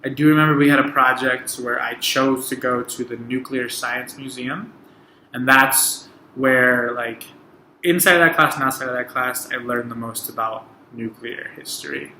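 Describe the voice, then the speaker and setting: A man's voice: mid-range voice, steady tone, moderate pace, sharp tone, reverberant, slight background noise, poor audio. A young man from Mexico answering interview questions plainly and matter-of-factly.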